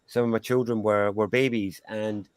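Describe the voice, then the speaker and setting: A man's voice: lowish voice, fairly even intonation, normal speed, clear, resonant tone, no room sound. Musing Scottish man, dry close sound. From Scotland. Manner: musing, rambling with restarts and asides. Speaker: guest sound healer. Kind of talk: casual conversation.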